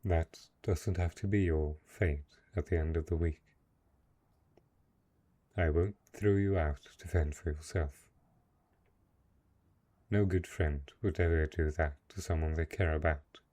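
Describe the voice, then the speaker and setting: A man playing a brooding, possessive and lonely dark knight in a fantasy roleplay audio drama, speaking in a measured, deliberate way. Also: low voice, fairly even intonation. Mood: soft, coaxing. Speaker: voice actor.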